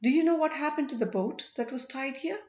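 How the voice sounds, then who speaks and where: A woman's voice: sits high, brisk, a little mellow, voice pushed up, lightly acted. A woman voicing an earnest, courteous, lovestruck young king in exile in an audiobook story. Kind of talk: audiobook character dialogue. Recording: roomy, slightly dull home recording.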